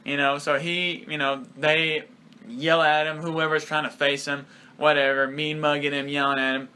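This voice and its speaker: A man's voice: a little high, flat delivery, moderate pace, bright, forceful tone, smooth. A man co-hosting a casual wrestling review podcast, talking in a laid-back, blunt way with lots of fillers. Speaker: podcast co-host.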